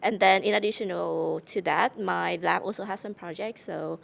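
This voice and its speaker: A woman's voice: lowish voice, fairly even intonation, moderate pace, creaky. A woman in her late twenties from Vietnam with a Vietnamese accent, giving casual interview answers as a student guest.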